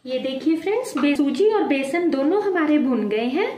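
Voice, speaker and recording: A woman hosting a home cooking video, calmly talking viewers through each step and calling them friends. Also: sits high, normal speed, reverberant, a little hiss or hum. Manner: addresses viewers as friends, calm explaining. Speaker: cooking channel host. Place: home kitchen at the stove.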